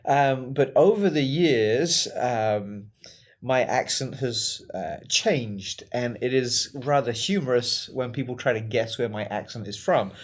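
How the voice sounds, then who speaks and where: An Englishman whose accent has softened after years in America talks casually as a podcast co-host. His pitch sits in an ordinary middle range, and he jokes and laughs easily as he tells stories. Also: a little slow. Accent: English with an American tinge. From England. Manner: jokey, laughs often, chatty. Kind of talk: casual chat.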